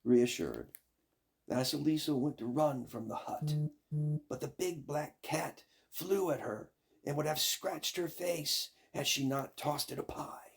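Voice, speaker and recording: An older man reading a fairy tale as a storyteller, dramatic and deliberate in his narration. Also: fairly high pitch, gravelly, breathy edge.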